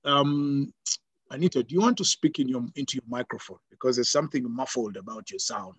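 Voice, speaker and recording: A man moderating an online panel on a video call, brisk and encouraging with short prompts. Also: mid-range voice, breathy edge, no echo. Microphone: video call mic.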